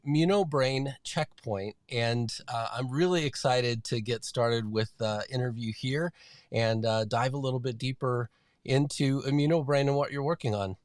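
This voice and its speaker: A man with a mid-range voice hosts a podcast in an upbeat, loose way, often restarting and filling pauses. The recording is dry and close, with almost no room sound. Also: mid-range voice, steady tone, no echo. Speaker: podcast host. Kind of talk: podcast interview hosting.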